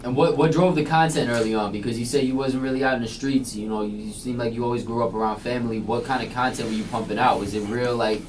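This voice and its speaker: A male radio host fires off eager, loosely phrased questions and swears casually. He talks at a quick clip. His voice comes from a mic some way off with a faint hiss. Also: mid-range voice, steady tone, far from the mic, poor audio. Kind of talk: casual interview chat. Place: radio studio.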